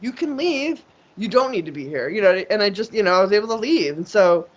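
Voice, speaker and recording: A woman's voice: mid-range voice, expressive, fairly quick. A woman guest in a casual interview, talking in a rambling, profane way with lots of fillers and restarts. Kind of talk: casual conversation.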